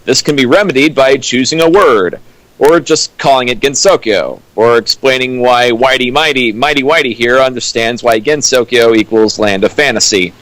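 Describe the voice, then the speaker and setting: A man riffing on a fan fiction with a co-host, tossing in dry, quick wisecracks. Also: medium pitch, fairly bright tone, dry recording, rough recording. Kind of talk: comedic commentary.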